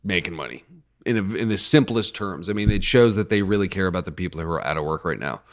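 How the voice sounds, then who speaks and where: A man's voice: deep voice, animated, fast talker, fairly bright tone. A man hosting a podcast, an easygoing and agreeable interviewer in casual chat. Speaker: podcast host.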